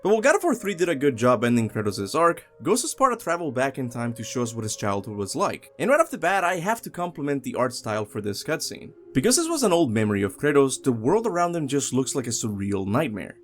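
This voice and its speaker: A man's voice: mid-range voice, big pitch swings, fast talker, hardly any pauses. A man hosting a video essay, narrating a countdown in a casual, opinionated and emphatic way. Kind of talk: countdown commentary, scripted but casual narration.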